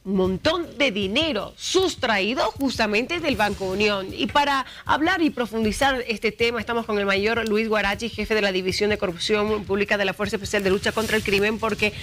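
A woman's voice: mid-range voice, a bit bright, soft background music. A young woman, hosting a morning TV show and asking pointed questions in a studio. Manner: brisk, probing questions. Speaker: TV news host.

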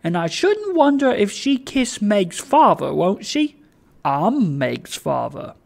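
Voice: medium pitch, sing-song, slow, creaky, darkish tone, pitched above the performer's own voice, full character voice. A narrator voicing a cheery, kindly, flustered poor old father who rambles eagerly in a storybook reading. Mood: delighted, teasing. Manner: rambling, eager, stumbling over words.

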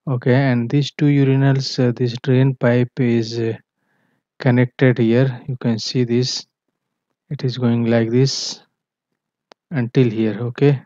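Slow, flat-voiced male engineering tutor. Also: mid-range voice, dry recording. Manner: halting, many fillers and self-corrections. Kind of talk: screen-share drawing walkthrough. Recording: dull, dry, lo-fi voice-over.

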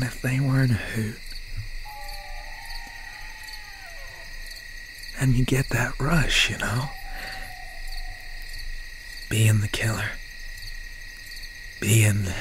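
A man playing an obsessed, menacing country slasher boyfriend in an ASMR roleplay, speaking in a breathy, halting, often whispered voice with folksy phrasing. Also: mid-range voice, natural voice. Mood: wistful, sinister. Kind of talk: ASMR roleplay, slow and intimate. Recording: noisy night ambience with crickets, owl. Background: crickets, insects and an owl.

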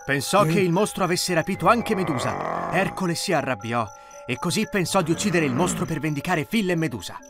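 Male fairy-tale narrator, animated pitch, music bed behind. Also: a little fast. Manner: expressive, storytelling. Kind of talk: storybook narration.

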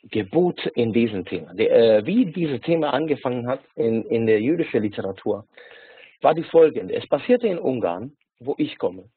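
Hungarian-accented man, halting, tinny call. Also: mid-range voice, expressive, husky, no echo. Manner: halting, many filler sounds and restarts. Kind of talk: online lecture. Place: small indoor room. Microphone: webcam or laptop mic on a video call. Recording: tinny phone-like video call.

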